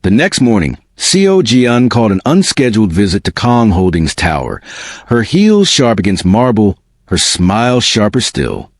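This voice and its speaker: A man narrating a romantic drama in a measured, dramatic storytelling style. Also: lowish voice, wildly swooping pitch, no echo, studio quality.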